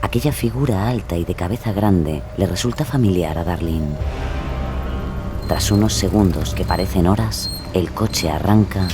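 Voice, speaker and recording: Very deep male true-crime narrator. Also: moderate pace, over music.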